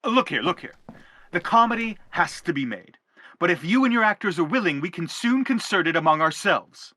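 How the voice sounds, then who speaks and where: A man's voice: high-pitched, very animated, normal speed, sharp tone, no room sound, exaggerated voice. An actor playing an earnest, courteous father character in a radio stage play, speaking formally and with pleading eloquence. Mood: eager, insistent.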